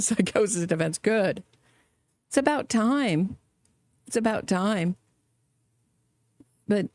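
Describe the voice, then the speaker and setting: Gravelly-voiced woman doing sardonic trial commentary. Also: low-pitched, dark tone, dry recording. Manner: chatty, sardonic, laughs often. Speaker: true crime commentator. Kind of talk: casual live trial commentary.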